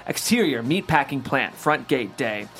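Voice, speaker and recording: A man's voice: medium pitch, lively intonation, moderate pace, a bit bright, soft background music. A man hosting a podcast table read, talking casually and reading out script directions. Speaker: host, reader of stage directions.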